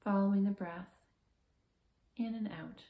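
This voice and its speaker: A woman guiding a body scan meditation in a calm, gentle, measured manner with slow, soothing pauses. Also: medium pitch, reverberant, phone-quality sound. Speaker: meditation guide, therapist.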